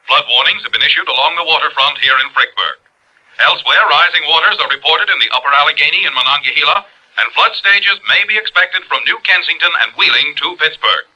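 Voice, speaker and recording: A formal 1950s news announcer through a radio-speaker filter. The voice is fairly high, very hard and bright, and somewhat rough. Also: male voice, lively intonation, fairly quick, full character voice, low-quality recording. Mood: urgent, formal.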